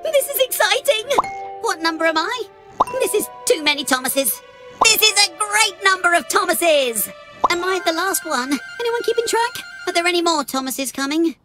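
An excitable little boy engine, chirpy and brisk, for a children's cartoon. The voice is very high and animated, over music. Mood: excited. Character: crowd of chirpy identical young boy tank engines.

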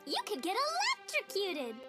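A woman's voice: extremely high pitch, heavily acted. A woman voicing a relentlessly cheerful, naive and sweet little girl in a children's cartoon, with a gushing, sing-song, oblivious delivery. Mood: worried, then bubbly. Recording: cartoon soundtrack with jingly music behind.

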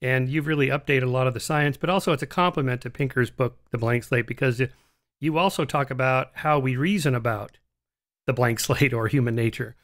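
A man in his sixties hosting an interview podcast, talking casually with halting restarts into a studio microphone. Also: mid-range voice, a bit bright. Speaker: podcast host. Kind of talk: interview conversation. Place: home studio, indoors. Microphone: large studio mic on a stand.

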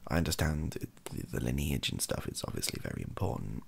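A young British man, softly spoken, rambling hesitantly through an opinion monologue in a gravelly, husky voice and often trailing off. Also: very deep voice, big pitch swings, fast. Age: young adult. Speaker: ASMR YouTuber. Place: small home room, indoors.

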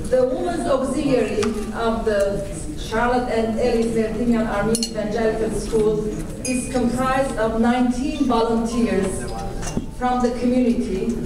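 A middle-aged woman, co-chair of a volunteer group, giving a polite formal announcement at a podium with many fillers, recorded in a large hall. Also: fairly high pitch. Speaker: auxiliary co-chair. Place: banquet hall, indoors, large. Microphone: podium microphone heard from a far camera. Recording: echoey hall, far-off mic, room noise.

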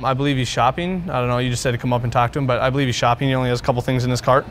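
Male prankster, twenties, American, brisk conversational delivery, average pitch. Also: steady tone, noisy background. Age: 20s. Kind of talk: casual chat.

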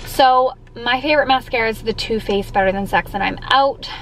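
A woman's voice: a little high, fairly quick, a bit bright, slight background noise, rough recording. A young woman chatting in an upbeat, casual way as a vlogger, filming herself at arm's length inside a parked car. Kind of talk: casual vlog chat. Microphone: camera held at arm's length.

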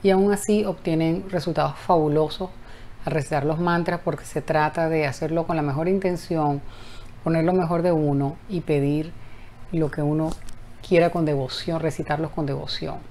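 Woman, 50s, lower voice, casual teaching tone, restarts phrases, phone camera, apartment room. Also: steady tone, warm tone, slight background noise. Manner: talkative, frequent self-restarts, slow chanting of mantra. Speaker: mantra teacher. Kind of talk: casual spoken lesson to camera. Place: bedroom apartment, indoors. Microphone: phone camera at close range.

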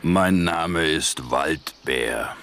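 Extremely deep, gravelly fat forest bear. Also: male voice, fairly bright tone, slight background noise, exaggerated voice. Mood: pompous. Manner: pompous, halting, muddled. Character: big fat forest bear, self-important, ponderous announcer. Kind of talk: children's story introduction in character.

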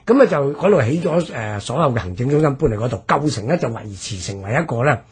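A man with a Hong Kong Cantonese accent, explaining history as a radio presenter with drawn-out phrase endings. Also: mid-range voice, expressive, husky.

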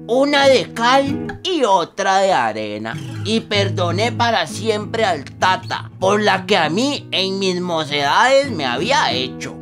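An older Costa Rican country foreman in a radio play. He is feisty and folksy, recounting events slowly with very animated intonation. Faint music plays behind him. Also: sits high, exaggerated voice. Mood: contented. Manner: folksy, colloquial, expressive. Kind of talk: radio drama narration.

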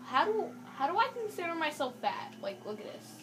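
A woman's voice: high-pitched, big pitch swings, a little fast. A woman playing a video game and chatting to herself with joking asides. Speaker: gamer. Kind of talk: casual gameplay commentary. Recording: game music playing in the room. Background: game music.

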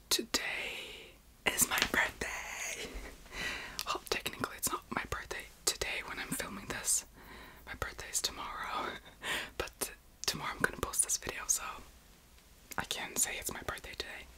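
A woman of about twenty whispering casual, excitable ASMR chat as a video host, often repeating words softly. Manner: whispers almost throughout.

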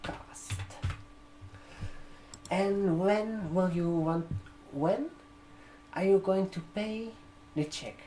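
A man in his twenties doing an ASMR role-play as a barber shop receptionist, talking to a customer in a halting, repetitive way. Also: sits high, dark tone. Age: 20s. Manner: slow, halting, repeating words while typing. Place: room with sofas, indoors. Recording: roomy echo, keyboard typing. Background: computer keyboard typing.

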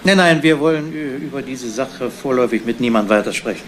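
A man's voice: medium pitch, fairly quick, slight background noise, lightly acted. An actor playing a level-headed, businesslike male investigator in a 1970s crime radio play, speaking in a clipped, matter-of-fact way. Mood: curt, discreet.

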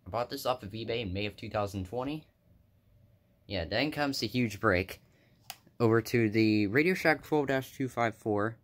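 A man's voice: on the low side, moderate pace. Casual young man listing his gadgets. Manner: offhand, listing items with fillers and self-corrections. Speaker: hobbyist vlogger. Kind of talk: casual show-and-tell narration. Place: indoors, room with carpeted floor.

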